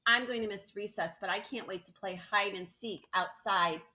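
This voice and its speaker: Woman reading as earnest, eager young schoolchild, bright-voiced. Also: medium pitch, moderate pace. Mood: wistful.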